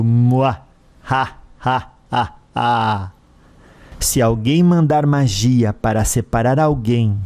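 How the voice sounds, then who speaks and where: A cruel lord of a dark spirit realm played by a male storyteller with a Brazilian accent. He speaks slowly and imperiously in a dark, mellow tone, taunting and threatening his listeners. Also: medium pitch, natural voice.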